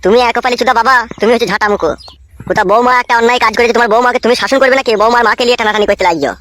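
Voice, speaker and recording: Cartoon casting: aggrieved, foul-mouthed young husband played by a woman, very high pitch, rapid-fire rants. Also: a bit bright, close to an everyday voice. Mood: indignant. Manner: ranting, scolding, coarse village speech. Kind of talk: comic cartoon dialogue.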